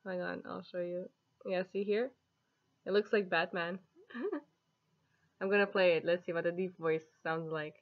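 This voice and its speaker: A woman in her twenties talks casually to camera as she shows off an app, amused and giggly. Her voice sits a little low for a woman, and the sound is dull and lo-fi, like a webcam in a living room. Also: steady tone, a little slow, pauses often. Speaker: app reviewer. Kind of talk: casual vlog chat to camera. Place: small home living room, indoors.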